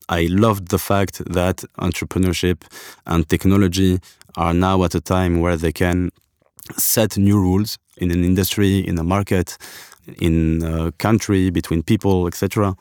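A man in his thirties from Algeria talks as a podcast guest with a very low voice. He thinks aloud, stopping and restarting often, and the close mic sounds dry with no room around it. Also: a little mellow, no echo. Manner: reflective, halting, many restarts and fillers. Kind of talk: casual conversation.

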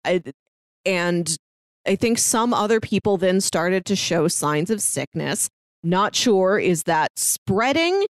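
A woman's voice: on the low side, expressive, slow, no room sound, clean studio sound. A woman talking casually as a podcast co-host, recapping a story in a conversational way. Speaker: podcast co-host discussing an audio drama.

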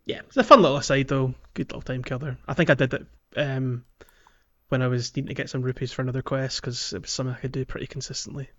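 A man hosting a podcast, talking through a video game in a casual, halting way as he thinks aloud. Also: medium pitch, fairly even intonation, highs cut off. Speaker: podcast host. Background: music.

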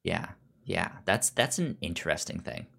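A man co-hosting a podcast in a casual film discussion, halting and often repeating words and syllables as he forms his thoughts. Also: sits low, lively intonation, creaky, a bit bright, husky. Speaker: podcast co-host.

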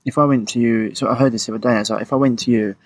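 A man's voice: mid-range voice, steady tone, very fast, dry recording. A man hosting a podcast, chatty and rambling as he asks his questions. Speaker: podcast host. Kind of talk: podcast conversation.

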